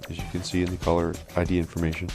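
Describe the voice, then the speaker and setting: Low-voiced man giving an informal demo. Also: completely flat delivery, darkish tone, soft background music. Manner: informal, halting, thinking aloud. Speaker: product demonstrator. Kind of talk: casual hands-on phone system demo.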